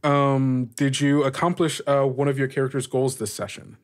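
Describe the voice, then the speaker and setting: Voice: mid-range voice, little pitch movement, normal speed. Informal, slangy game master running the table. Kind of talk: casual tabletop game narration and chat.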